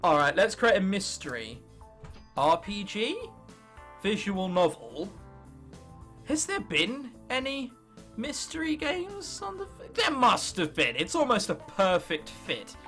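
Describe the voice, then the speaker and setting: A teenage man from England with an English accent, commentating on gameplay and thinking aloud in an excitable, sweary, self-mocking way. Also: high-pitched, slow, soft background music. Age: late teens. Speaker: gaming video host.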